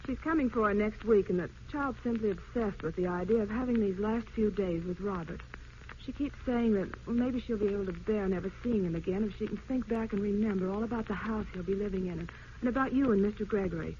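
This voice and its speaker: An actress playing a polite, earnest child agency woman with measured delivery in a vintage radio drama. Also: mid-range voice, mellow, natural voice. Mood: earnest, courteous. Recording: muffled old radio broadcast, faint noise.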